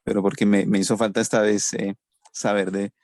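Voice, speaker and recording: A man's voice: fairly low pitch, little pitch movement, fairly quick, darkish tone, no room sound. A man, a student in an online English class, asking his teacher questions politely and hesitantly, with many restarts.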